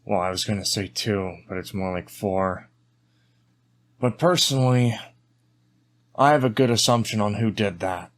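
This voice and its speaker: A man acts out a wary fighter in a tabletop game. The voice is somewhat low, with casual, wry, pragmatic phrasing. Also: unhurried, pauses often, lightly acted.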